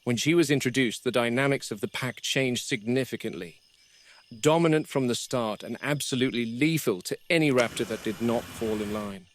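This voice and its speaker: A man playing a grim, wary game warden who narrates a found-footage horror field log in a measured, grave, deliberate manner. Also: medium pitch, close to an everyday voice. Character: grim, wary male game warden logging predator behavior. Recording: noisy VHS-style found-footage recording.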